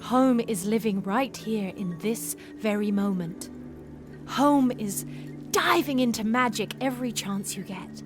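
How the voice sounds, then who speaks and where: A woman's voice: fairly high pitch, unhurried, lightly acted. A woman acting a free-spirited, dreamy young sailor in a Regency-era audio drama, poetic and full of wonder. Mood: tender, earnest. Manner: wonder-filled, poetic, effusive. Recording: music bed behind the voice.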